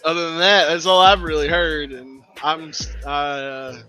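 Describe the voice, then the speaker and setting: A man's voice: a little high, fairly even intonation, normal speed, bright, forceful tone, faint music behind. A man co-hosting a casual anime podcast, speaking hesitantly with many fillers and trailing off, and feeling hot from a broken air conditioner. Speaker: podcast co-host.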